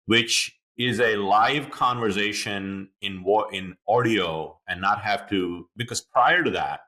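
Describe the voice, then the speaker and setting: A man's voice: lowish voice, slow, sharp tone. A man hosting an interview podcast, explaining things at length in an enthusiastic, chatty way with many fillers. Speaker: podcast host. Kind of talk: conversational interview.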